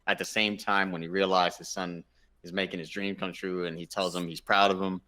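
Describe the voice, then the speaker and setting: A man in casual discussion as a panelist, effusive and often halting to rephrase himself. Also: low voice, level tone, pushed and bright. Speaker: gaming show panelist. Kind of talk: casual chat, movie discussion.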